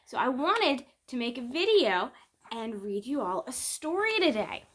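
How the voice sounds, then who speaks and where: A woman teacher reading a children's picture book aloud in an expressive, deliberate storytelling manner with dramatic pauses. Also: a little high.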